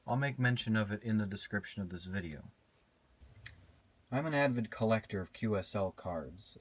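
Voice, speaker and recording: American ham radio guy, lowish voice, talks measured and matter of fact. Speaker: amateur radio operator and vlogger. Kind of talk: ham radio contacts and casual narration.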